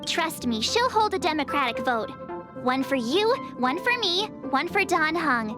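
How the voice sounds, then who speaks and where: A woman voicing an outspoken, excitable young space-traveling girl in a video game, emphatic and indignant. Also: high voice, a little slow. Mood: bossy, conspiratorial. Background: game music.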